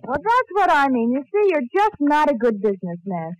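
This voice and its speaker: A coaxing young girlfriend in an old radio sitcom. Her voice is high and swoops a lot, captured dry on a vintage broadcast recording. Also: big pitch swings, normal speed, no echo, a faint crowd, poor audio. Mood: scolding. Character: affectionate but scolding, coaxing young sweetheart. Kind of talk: 1940s radio comedy acting.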